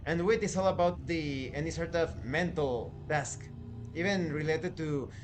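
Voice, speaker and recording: A man with a fairly high voice hosts a review over background music. He speaks in a deliberate, emphatic way, stretching out key words and pausing between short phrases as he presents. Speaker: tabletop game reviewer and channel host. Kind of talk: scripted-sounding review presentation.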